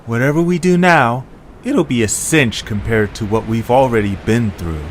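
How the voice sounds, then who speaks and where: A man playing an excitable, good-hearted young creature adventurer who grumbles and exclaims in an amateur audio drama. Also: mid-range voice, moderate pace, noisy background, lightly acted. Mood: reassuring.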